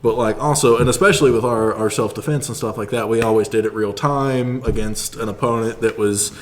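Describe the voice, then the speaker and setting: A man with a fairly low voice talks casually as a podcast guest. He rambles through stories and mimics other people's remarks, with faint noise behind him. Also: brisk. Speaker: podcast guest, martial artist.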